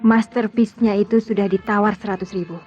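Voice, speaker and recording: A woman's voice: mid-range voice, fairly even intonation, brisk, darkish tone, close to an everyday voice. A woman acting a married doctor in an old-style radio drama, guarded and curt as she deflects. The sound is dull and lo-fi, with music playing behind her. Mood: dry, retorting.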